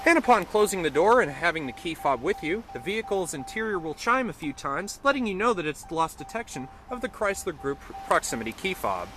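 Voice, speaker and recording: A man's voice: high-pitched, big pitch swings, a little fast, few pauses, noisy background. A man giving an enthusiastic, detailed car review, now and then correcting himself mid-sentence, recorded in and around a car. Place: inside and around a car, outdoors.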